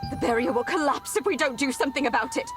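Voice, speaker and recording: A woman's voice: sits high, huge pitch swings, quick pace. A woman voicing an earnest, polite young female investigator in a video game. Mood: urgent, pleading. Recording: music behind the voice. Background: game music.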